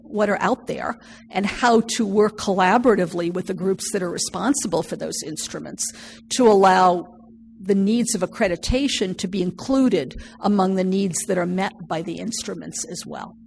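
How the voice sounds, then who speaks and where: A woman presenting at a conference in a measured, formal way with occasional fillers. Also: medium pitch, vocal fry, a little mellow. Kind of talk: conference talk. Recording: reverberant hall with faint noise.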